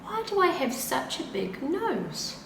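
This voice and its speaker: A woman voicing a curious, excitable and affectionate young male bear cub from a children's storybook, full of eager questions and exclamations. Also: high voice, unhurried, gravelly, pitched above the performer's own voice, heavily acted. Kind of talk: children's storybook character reading. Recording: roomy, faint background noise.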